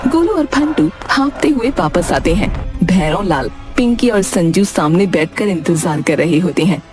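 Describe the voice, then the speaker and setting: A woman's voice: fairly low pitch, animated, a little slow, a little mellow, soft background music. A woman narrating a scripted children's moral story as its narrator.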